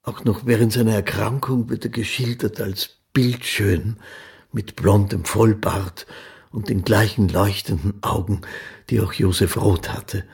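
Documentary chronicler for radio drama. Low, extremely husky, sober and deliberate. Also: male voice, fairly even intonation, darkish tone, exaggerated voice. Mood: sober, measured. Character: sober male biographical chronicler. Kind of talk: documentary narration in radio play.

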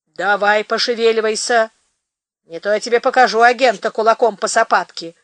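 A woman voicing a gruff, commanding man who speaks brusquely. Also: on the high side, fairly even intonation, moderate pace, no room sound, close to an everyday voice. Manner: brusque, bossy.

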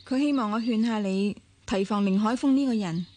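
An actress with a Hong Kong accent playing a composed, perceptive young woman in a radio drama, speaking in a measured, probing way. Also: medium pitch, warm tone, a little hiss or hum, natural voice. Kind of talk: Cantonese radio drama acting.

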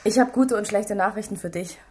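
A woman's voice: medium pitch, brisk, lightly acted. A woman playing an experienced police detective in a radio crime drama, dry and matter-of-fact, a teasing but stern mentor. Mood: confiding.

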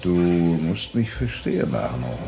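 An actor in a German radio drama playing a measured, scheming industrial director who phrases things deliberately. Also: male voice, deep voice, sing-song, creaky, heavily acted. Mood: guarded, persuasive.

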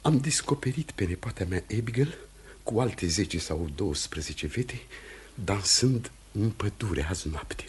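A husky, anxious Puritan householder. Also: male voice, fairly low pitch, animated. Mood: troubled, ashamed. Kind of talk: radio theatre acting.

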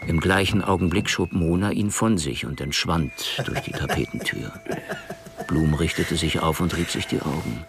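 A man narrating a scripted crime radio play in a measured, composed, literary way. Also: a bass voice, background noise.

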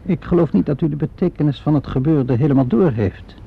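Police inspector, gravelly, calm, probing, measured and polite, radio play, muffled old recording. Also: male voice, on the high side. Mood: measured, persistent. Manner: measured, polite, methodical questioning. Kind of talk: Dutch radio play acting.

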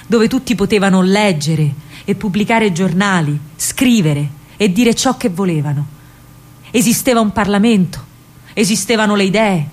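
A woman playing a disillusioned, fatherly older Roman man in a radio monologue, speaking gravely and deliberately in a hushed way with a Roman accent. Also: medium pitch, close to an everyday voice. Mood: tender, helpless.

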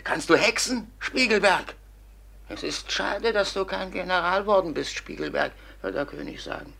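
An actor playing a boastful young schemer who dreams feverishly of grand plans, declaiming excitably in a classical radio play. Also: male voice, high voice, normal speed, creaky, full character voice. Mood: grandiose.

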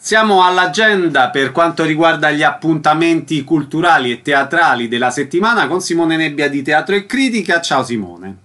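A man's voice: a little high, animated, normal speed, hardly any pauses, bright, forceful tone, faint music behind. A man hosting a radio programme, presenting guests and segments. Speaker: radio host.

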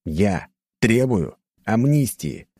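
A man's voice: lowish voice, sing-song, slow, dark tone, no echo, studio quality, pitched above the performer's own voice, heavily acted. A man playing a brash, commanding hunter in an audiobook, with a curt, insistent manner. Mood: firm, demanding. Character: brash, bossy young male hunter, commanding and exasperated. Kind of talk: audiobook character dialogue.

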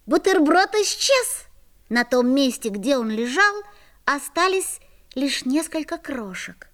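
A woman playing a lively, curious schoolboy who narrates a children's audio play in an expressive, boyish way. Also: sits high, takes it slowly, exaggerated voice. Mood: lively, wondering.

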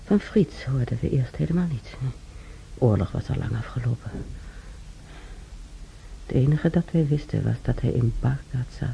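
An actor playing a weary, ailing German recalling wartime in an intimate radio drama, halting and sighing, often muttering close to a whisper, with a gravelly voice. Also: male voice, medium pitch, animated, warm tone, slight background noise, low-quality recording. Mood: sombre, bitter.

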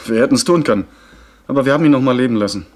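A man performs a young gentleman at sea, polite and sincere. His voice sits at an average male pitch. The delivery is articulate, literary radio drama acting of the 1970s. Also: racing, close to an everyday voice. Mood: earnest. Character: earnest young gentleman adventurer, narrating his story. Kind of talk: 1970s German radio drama, dialogue and narration.

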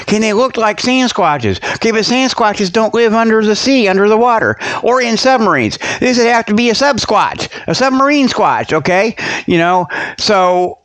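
A man's voice: high voice, a bit bright. A man hosting a radio show with a rambling, folksy comedic monologue, peppered with filler phrases and digressions. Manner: folksy, digressive, peppered with "okay" and "you know"; chuckles at own jokes.

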